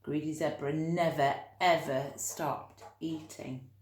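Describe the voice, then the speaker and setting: A woman reading a children's story expressively, with dramatic emphasis and drawn-out key words. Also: deep voice, fairly even intonation, darkish tone, roomy sound.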